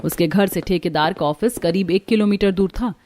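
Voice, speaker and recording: A woman's voice: on the low side, normal speed, a little mellow, a little hiss or hum. A woman reading scripted storytelling narration for a cartoon.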